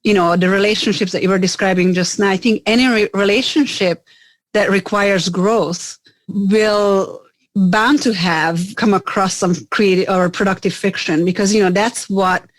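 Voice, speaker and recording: A woman's voice: mid-range voice, no room sound. A middle-aged woman from Hungary, a business owner talking in an interview, earnest, often restarting words and saying "you know". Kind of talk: casual interview conversation.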